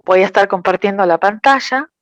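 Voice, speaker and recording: A woman interpreting a talk, careful and measured. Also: mid-range voice, dry recording. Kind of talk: consecutive interpretation.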